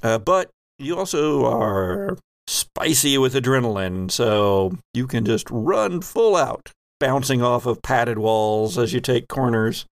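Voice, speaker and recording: A man's voice: medium pitch, animated, slow, no room sound, clean studio sound. A man running a tabletop role-playing game as game master, improvising descriptions and explaining rules in a conversational way.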